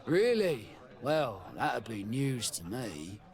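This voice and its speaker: A man's voice: mid-range voice, very animated, slow pace, darkish tone. A man playing a chatty, sly ex-soldier tavern keeper in a video game, with a folksy manner. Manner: folksy, colloquial. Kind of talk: video game dialogue acting.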